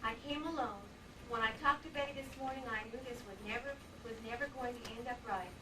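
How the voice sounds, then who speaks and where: A woman acting a grieving, resolute wife in a radio play. She speaks quietly in a slightly high voice, well away from the microphone on a noisy live stage recording. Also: quick pace, recorded from a distance. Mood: sad, subdued. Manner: subdued, quiet delivery. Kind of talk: old-time radio drama acting.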